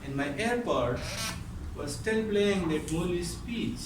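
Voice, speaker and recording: A man delivers a theatrical story on stage in a high, very animated voice. He takes his time, stretching phrases and pausing for effect. The recording is very echoey and distant, with faint noise. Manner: dramatic, drawn-out delivery with pauses. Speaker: contest speaker. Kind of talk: theatrical storytelling speech to an audience. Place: echoey room at a speech contest. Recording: roomy echo, distant mic, faint noise.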